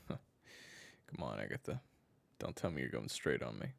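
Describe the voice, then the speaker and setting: A man playing a weary, sardonic assassin with a gravelly voice and halting delivery in an audio drama. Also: rumbling low, lively intonation, no room sound, exaggerated voice. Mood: wry. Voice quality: gravelly, raspy. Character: weary gravel-voiced male assassin desperate to protect his family. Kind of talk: audio roleplay drama acting.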